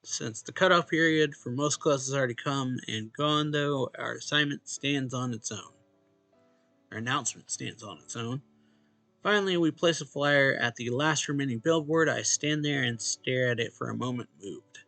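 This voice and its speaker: Bright-voiced man reading a story, dry sound. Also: medium pitch, moderate pace, creaky, no echo. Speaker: let's play host. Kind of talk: reading visual novel narration and dialogue aloud. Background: game music.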